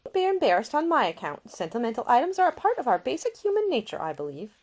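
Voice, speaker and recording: A woman's voice: high voice, huge pitch swings, fast, darkish tone, voice pushed up, exaggerated voice. A woman voicing a caring, earnest and proper young woman in a storybook, solicitous and polite. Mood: warm, reassuring.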